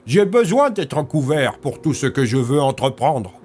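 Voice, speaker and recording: A man's voice: mid-range voice, sing-song, a little slow, darkish tone. A man playing a refined, scheming military leader in an audio drama, with urbane, courteous and smug manners. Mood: smug, persuasive. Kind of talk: French audio fiction acting.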